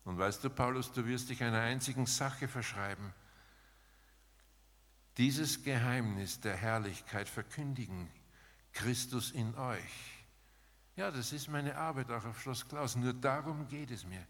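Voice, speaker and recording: A man preaching a sermon in an emphatic, rhetorical manner, setting out short phrases with pauses between them. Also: medium pitch, a bit bright. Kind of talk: sermon with dramatized paraphrase, closing in prayer. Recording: long hall reverb.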